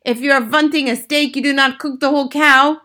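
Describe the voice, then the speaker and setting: High female voice, woman acting out grand villainous witch, commanding, scornful, gloating, storybook reading. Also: steady tone, fairly quick, sharp tone. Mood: scornful, triumphant. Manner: commanding, scornful, relishing instructions. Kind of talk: storybook character read aloud.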